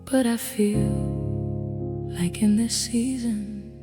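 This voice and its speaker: A woman's voice: fairly high pitch, steady tone, drawn-out words. A woman playing an insecure aging wife who pours out her heart in a tender, dramatic sung ballad. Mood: tender, fearful sorrow. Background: tender instrumental music.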